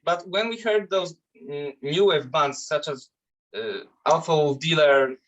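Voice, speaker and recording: A man's voice: on the high side, measured pace, fairly bright tone, muffled recording. A man from Poland with a Polish accent, a metal band vocalist chatting loosely in an interview, swearing and restarting his sentences. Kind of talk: casual interview chat.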